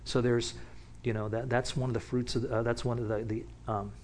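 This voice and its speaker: A man teaching from the Bible in a relaxed, conversational way, often restarting sentences and picking up energy as he warms to a point. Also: mid-range voice, a little fast, a little hiss or hum. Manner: casual, with many restarts and asides. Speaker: pastor.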